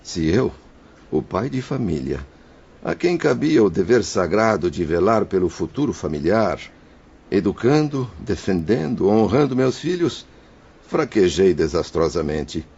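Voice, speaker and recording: An actor playing the remorseful spirit of a husband and father who took his own life, confessing his guilt in a grave, husky voice. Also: low-pitched, sing-song, measured pace, darkish tone, highs cut off, heavily acted. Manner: contrite, solemn confession. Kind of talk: audio drama acting.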